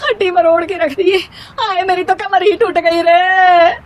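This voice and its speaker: Woman as a bossy, high-voiced mother-in-law. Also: steady tone, a little slow, lo-fi sound, noisy background. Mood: wailing, scolding. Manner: scolding, melodramatic. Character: bossy, exasperated middle-aged mother-in-law, dramatically long-suffering. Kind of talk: animated moral story character voice.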